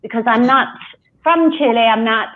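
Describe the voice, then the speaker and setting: A woman's voice: on the high side, normal speed. A woman with a South African accent, a coach chatting as a guest on a video call, laughing easily. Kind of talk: casual video call chat. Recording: tinny phone-like call audio.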